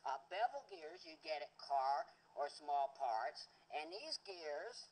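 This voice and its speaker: High-voiced man rambling through a demo. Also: very animated, slow pace, gravelly, low-quality recording. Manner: rambling, hesitant, many fillers and restarts. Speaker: home inventor demonstrating a device. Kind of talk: rambling hands-on demonstration.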